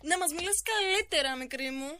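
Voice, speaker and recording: A woman's voice: very high voice, animated. A woman in an amateur cartoon dub playing a spoiled, sneering rich girl, taunting and whiny. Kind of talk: amateur animated film dub acting. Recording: loud noise, clicks.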